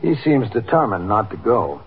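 An actor playing a calm, patient police captain in a 1950s radio drama, with a husky voice and a measured, understated delivery. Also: male voice, fairly low pitch, narrow, tinny audio, slight background noise. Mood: probing, patient. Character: calm, patient New York police captain who also narrates. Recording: thin, band-limited 1950s radio recording.

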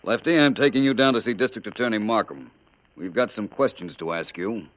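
An actor playing a shrewd, polite, self-assured private detective in old-time radio drama. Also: male voice, fairly low pitch, brisk, heavy background noise, natural voice. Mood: confident. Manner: probing, polite, incisive. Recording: dull vintage radio recording.

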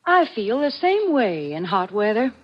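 An actress playing a cheerful, genteel sidekick in playful, polite 1940s radio sponsor banter. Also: female voice, mid-range voice, sing-song, measured pace. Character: cheerful, genteel female sidekick to horror host. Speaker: sponsor's spokeswoman. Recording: dull, lo-fi 1940s radio recording.

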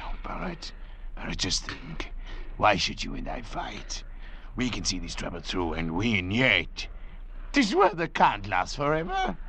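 Gravelly, scheming castaway doctor. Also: male voice, fairly low pitch, huge pitch swings, sharp tone, heavily acted. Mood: coaxing, sly. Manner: measured, calculating, theatrical. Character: cunning, controlling male doctor adrift in a lifeboat. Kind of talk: 1940s radio drama acting. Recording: noisy vintage radio recording.

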